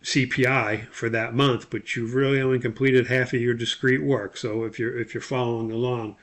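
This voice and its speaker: A man's voice: medium pitch, level tone, normal speed, fairly bright tone. A man instructor explaining material in a loosely scripted lecture, plain-spoken with opinionated asides.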